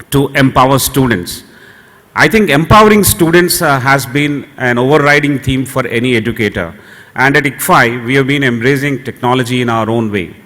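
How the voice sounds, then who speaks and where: A middle-aged man with an Indian English accent giving a measured, anecdotal keynote at a conference, pausing often with "uh". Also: medium pitch, fairly bright tone. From southern India. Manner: anecdotal, measured, frequent "uh" pauses. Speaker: conference speaker for a university. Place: large conference hall. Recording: long hall reverb from a venue PA.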